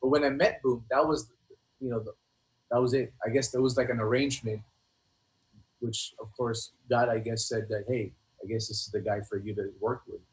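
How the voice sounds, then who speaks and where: A middle-aged man reminiscing casually as an interview guest, in a halting, slangy way that often doubles back on words. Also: mid-range voice, steady tone, rough recording. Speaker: guest, rapper.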